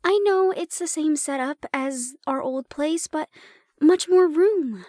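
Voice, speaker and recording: A woman playing an affectionate, teasing human partner of a dragon in an intimate roleplay audio drama, speaking softly and unhurriedly with pauses. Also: sits high, dry recording, clean studio sound. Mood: teasing, warm.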